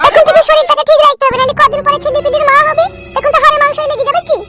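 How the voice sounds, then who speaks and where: A man voicing a bossy, nosy older village aunt in a comic cartoon, with a rural West Bengal accent, a pitched-up voice and a preachy, earthy manner. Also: little pitch movement, racing. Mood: bossy advising. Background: music.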